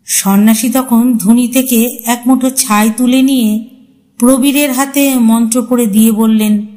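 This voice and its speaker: A woman narrates a horror story in a dramatic, scripted style. Her voice sits fairly high and she takes her time with each phrase. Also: fairly even intonation, a little slow, mellow.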